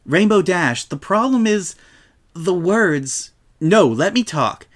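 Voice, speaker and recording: A man's voice: high voice, lively intonation, slow, pitched above the performer's own voice. A man voicing an earnest, bookish young female unicorn who explains things patiently in a storybook reading. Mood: earnest, explanatory.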